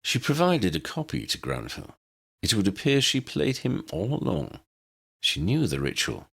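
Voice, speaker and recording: A man voicing a bookish, courtly uncle in an audiobook, measured, wordy and wry. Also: mid-range voice, huge pitch swings, gravelly, fairly bright tone, husky, exaggerated voice. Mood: pondering. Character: bookish, courtly uncle with ornate speech and calm wit.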